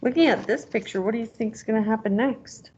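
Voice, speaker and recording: A woman's voice: on the high side, brisk, darkish tone, slightly rough. Dull-sounding woman reading a storybook aloud. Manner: encouraging, prompting with questions. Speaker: teacher reading aloud as a parent. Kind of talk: storybook read-aloud with guiding questions.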